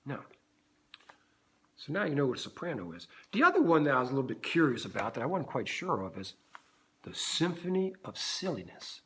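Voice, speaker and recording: A middle-aged man with a low bass voice, leading a playful music lesson for children and checking in often with the listener. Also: a little fast. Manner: animated, silly, keeps checking in with "right?".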